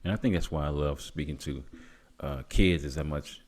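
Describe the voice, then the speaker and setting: A man's voice: rumbling low, moderate pace, a little mellow. A man in his fifties speaking earnestly and encouragingly in a conversational podcast discussion. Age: 50s. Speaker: podcast host.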